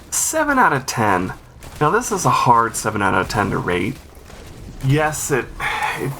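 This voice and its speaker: A man's voice: mid-range voice, bright, forceful tone, slight background noise. A man hosting a game accessibility review, explaining things informally and thinking aloud as he goes. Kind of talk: informal spoken walkthrough and review commentary.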